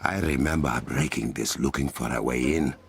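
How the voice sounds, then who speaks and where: A man playing a regal warrior king in a video game, speaking with an African accent in a measured, formal, commanding and dryly wry way. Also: very deep voice, vocal fry. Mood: calm, reflective. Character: regal male warrior king, masked hero protecting his land. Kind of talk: video game dramatic voice acting. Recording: loud game music behind.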